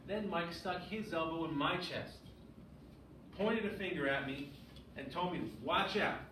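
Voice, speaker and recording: A man's voice: a little high, measured pace, bright, forceful tone. A man teaching a grade school English class, often checking understanding with short questions. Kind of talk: classroom lesson with read-aloud. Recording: echoey, noisy room, distant mic.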